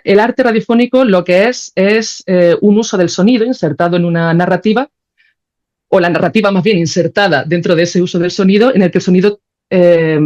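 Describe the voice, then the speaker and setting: A woman's voice: mid-range voice, darkish tone, muffled recording. A woman answering as a podcast guest, explaining ideas conversationally and thinking aloud, often checking that she is understood. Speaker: podcast guest, sound creator.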